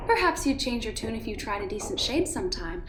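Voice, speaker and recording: A woman's voice: a little high, sing-song, fairly quick, fairly bright tone, reverberant. A woman playing a polished, self-assured female manager in an audio drama, airy, theatrical and patronising. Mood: teasing.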